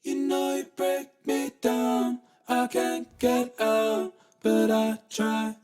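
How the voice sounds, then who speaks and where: A woman sings slow, high pop lines with a clearly electronic, autotuned finish. The harmonies sound like a vocoder, with music prominent in the sound. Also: lively intonation. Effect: tightly edited autotune, electronic vocoder-like sound. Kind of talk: sung pop vocal harmonies.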